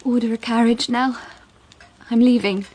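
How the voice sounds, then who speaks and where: Actress as bitter, high-voiced young runaway wife. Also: female voice, fairly even intonation, moderate pace, a little mellow, lightly acted. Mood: bitter, grieving. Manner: bitter, intense. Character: anguished, weary, defiant young wife fleeing a violent husband. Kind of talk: radio drama acting.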